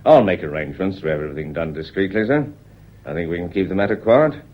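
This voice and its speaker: A man acting in a British radio play as a polite, quietly persistent police inspector, measured and unflappable, with a British accent. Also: low voice, animated. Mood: courteous, casual. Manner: deferential, measured, unflappable, constantly courteous. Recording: dull lo-fi radio recording.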